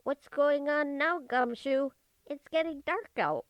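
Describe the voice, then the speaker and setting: A woman's voice: high-pitched, slow pace, no echo, dull audio. A woman playing a cheeky streetwise girl with slangy, sassy delivery in an old-time radio detective drama.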